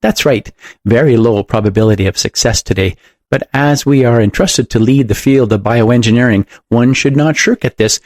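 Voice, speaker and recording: A man's voice: fairly low pitch, normal speed, a little mellow, dry recording, studio quality, lightly acted. A man voicing a pompous, zealous doctor who makes grand, uplifting speeches in an audiobook. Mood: uplifting, smug. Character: pompous, zealous male doctor lecturing on bold experiments. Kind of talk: audiobook character voice.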